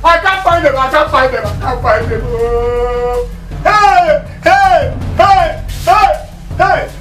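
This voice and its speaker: A high-pitched, blustering Nigerian village father. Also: big pitch swings, moderate pace, a bit bright, faint music behind, heavily acted, rough recording. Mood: frantic outrage. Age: middle-aged. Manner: repeats words emphatically, blustering. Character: irascible Nigerian village father, loud and easily outraged. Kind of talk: Nigerian film comedy acting.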